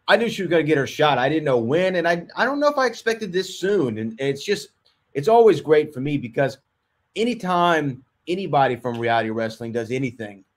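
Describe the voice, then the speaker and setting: Earnest man co-hosting a podcast. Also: mid-range voice. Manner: enthusiastic, earnest. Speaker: podcast co-host. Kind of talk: casual sports chat.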